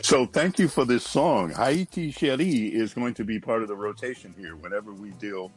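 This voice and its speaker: A man hosting a morning radio show and reading announcements in a steady announcer's manner. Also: fairly low pitch, moderate pace, breathy edge, soft background music. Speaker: radio host. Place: radio studio.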